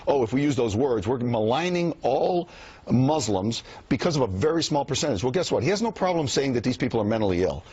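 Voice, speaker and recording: A man on a studio panel, arguing his point with halting restarts that build into emphatic statements. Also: mid-range voice, a little mellow, husky, dry recording, lo-fi sound.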